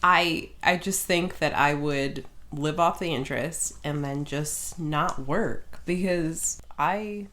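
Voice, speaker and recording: A woman's voice: low-pitched, slow pace, few pauses, a bit bright. A woman co-hosting a podcast in casual conversation, with a blunt, dismissive manner. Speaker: podcast co-host. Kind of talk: casual chat.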